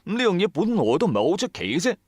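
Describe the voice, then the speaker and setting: A man's voice: high voice, very fast, no room sound, studio quality. A man with a Cantonese accent narrating an audio drama in a colloquial, dramatised first-person style, with chatty asides to the listener. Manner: colloquial, animated storyteller with rhetorical asides.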